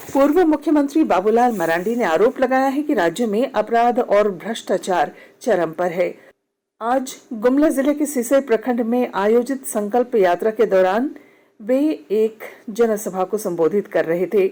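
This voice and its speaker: A woman's voice: a little high, mellow. A woman with an Indian accent reading a formal, scripted radio news bulletin.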